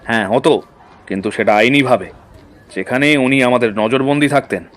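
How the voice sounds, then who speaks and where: A man's voice: medium pitch, moderate pace, a bit bright, close to an everyday voice. A man playing a stern, easily affronted police officer in an audio drama, officious and confrontational. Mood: indignant. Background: background music.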